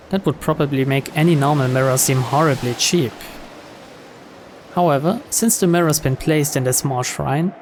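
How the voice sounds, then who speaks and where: A man reading a visual novel aloud as dramatic first-person narration. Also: a little high. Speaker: video game player reading the story aloud. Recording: clear background noise.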